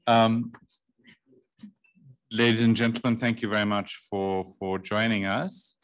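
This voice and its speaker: A middle-aged man with a deep voice, chairing a workshop and giving formal opening remarks into a table microphone in a large conference room, measured and with frequent ums. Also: flat delivery. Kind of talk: formal speech, partly read.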